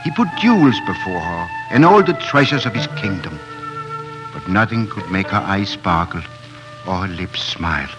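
A man's voice: low-pitched, big pitch swings, normal speed, lo-fi sound. A man narrating a fairy tale in solemn, lyrical 1940s radio drama style. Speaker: storyteller narrator. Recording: old radio recording with music behind.

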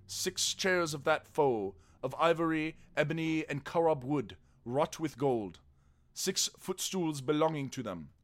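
A man playing an ancient royal scribe, formally reciting an official record item by item in a measured way. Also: fairly high pitch, lightly acted. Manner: measured, formal, list-like delivery. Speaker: dramatic reader.

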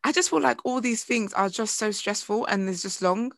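A woman's voice: mid-range voice, normal speed, vocal fry. A young woman, co-hosting a casual chat podcast, her voice a little tired and strained, laughing often and stretching out her complaints. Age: young adult. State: voice sounds tired and a bit strained. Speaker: podcast co-host.

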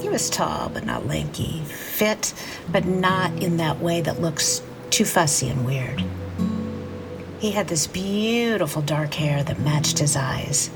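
A woman's voice: low-pitched, animated, slow pace, vocal fry, loud music behind the voice, full character voice, rough recording. An actress playing a fed-up divorced businesswoman in an audio drama, candid and conversational as she tells her own story. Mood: dreamy, confiding. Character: fed-up divorced businesswoman, wounded, wry, breaking loose. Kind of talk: audio drama acting and confessional first-person narration.

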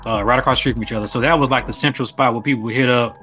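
A man with a bright, forceful voice of average pitch talks casually on a podcast. He explains as he goes, peppering it with familiar tags. Faint music runs underneath. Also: steady tone, rapid-fire. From Little Rock, Arkansas, USA. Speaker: podcast speaker. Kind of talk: casual chat.

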